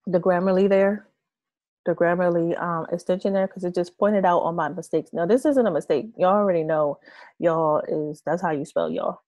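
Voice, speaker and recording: A woman in her thirties, hoarse from losing her voice, casually explaining an online tutorial with many fillers and restarts. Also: on the low side, dark tone, no echo. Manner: rambling, hesitant, many fillers. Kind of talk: casual screen-share tutorial. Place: small room with red curtains, indoors.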